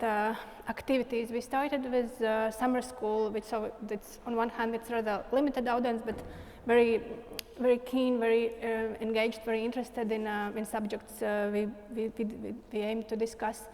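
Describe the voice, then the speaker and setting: Woman panelist, higher flat voice, hesitant and repetitive, long hall reverb. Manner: halting, repeats words. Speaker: curator and researcher, panelist. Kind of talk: academic panel talk.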